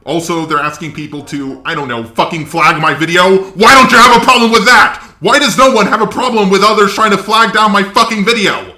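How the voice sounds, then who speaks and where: A man's voice: high-pitched, a little fast, hardly any pauses, bright, forceful tone, echoey room. A man doing combative, profane online commentary with a sarcastic, exasperated way of talking. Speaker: YouTube commentator.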